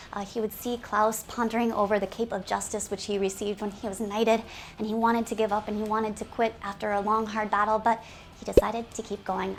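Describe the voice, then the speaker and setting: A woman hosting a game community video series, speaking to viewers in an animated, giggly, presenter's way. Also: fairly high pitch. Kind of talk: upbeat scripted video presenting with ad-libbed asides.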